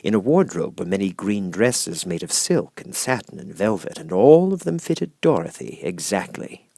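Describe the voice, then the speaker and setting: A man with a fairly low voice narrates a children's storybook. He reads from the script in measured, clearly phrased sentences, as an audiobook narrator. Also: sing-song, warm tone, no echo, clean studio sound.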